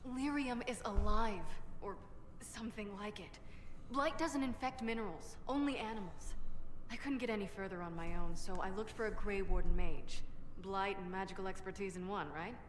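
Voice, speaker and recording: A woman's voice: medium pitch, moderate pace, close to an everyday voice. An actress playing a brash, blunt and defensive woman inventor in a video game. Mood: uneasy, explaining. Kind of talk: video game dialogue acting. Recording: echoey hall reverb, faint background noise.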